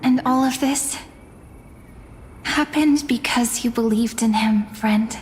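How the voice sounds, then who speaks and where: An actress playing a gentle, devoted young village woman, speaking earnestly and wistfully in a game story. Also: a little high, a little hiss or hum. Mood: tender, wistful. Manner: tender, earnest, measured. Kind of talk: emotional scripted game dialogue. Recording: long reverb, music behind.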